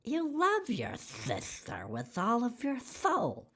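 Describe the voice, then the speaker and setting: Woman voicing a swooping, husky kindly rabbit. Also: on the high side, huge pitch swings, slow pace, fairly bright tone, pitched above the performer's own voice, exaggerated voice. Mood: earnest, advising. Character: kindly male rabbit, earnest adviser. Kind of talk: children's storybook read-aloud.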